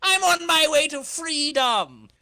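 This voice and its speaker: A man's voice: very high voice, slow, gravelly, bright, forceful tone, no echo, studio quality, voice pushed up, exaggerated voice. A man voicing a cocky, scheming supervillain racer in a boastful, over-dramatic comic-book reading.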